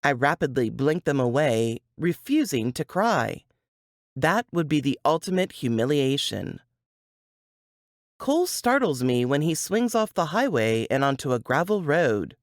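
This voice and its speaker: A woman narrates first-person fiction with dramatic, deliberate phrasing. Her delivery is slow and very animated in pitch, captured in a dry, close sound. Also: no echo. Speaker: author reading from a novel.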